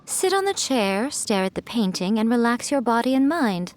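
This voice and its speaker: Sly, poised fox priestess, game, teasing and lilting. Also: female voice, on the high side, sing-song, normal speed. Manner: teasing, coaxing, playful. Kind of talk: video game voice acting.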